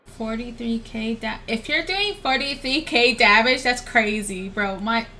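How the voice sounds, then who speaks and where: Young female streamer: high, bright, unhurried, slangy livestream chat over faint music. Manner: slangy, sweary, giggly. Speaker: game streamer. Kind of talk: casual livestream commentary, chatting with viewers.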